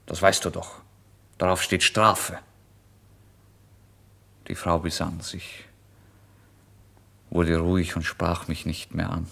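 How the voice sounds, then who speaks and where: A man giving a dramatic first-person literary narration, intense and theatrical, moving between hushed and loud delivery. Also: sits low, gravelly.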